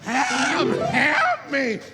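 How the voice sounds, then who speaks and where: In a reverberant hall, a man plays a kindly old guardian angel for a live radio play. The voice is high and swoops freely, taking its time with fussy, stammering innocence. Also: wildly swooping pitch, extremely slow, heavy background noise, exaggerated voice. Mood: frightened, excited.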